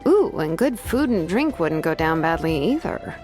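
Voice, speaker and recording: A woman's voice: sits low, big pitch swings, moderate pace. A woman playing a laid-back, confident young blacksmith in a video game, speaking in an easygoing, casual way. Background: music.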